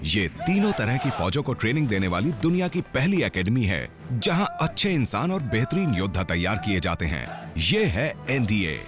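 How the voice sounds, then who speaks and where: A man's voice: lowish voice, very animated, moderate pace. Male doc narrator, big swooping delivery, music under it. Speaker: documentary narrator. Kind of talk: scripted narration.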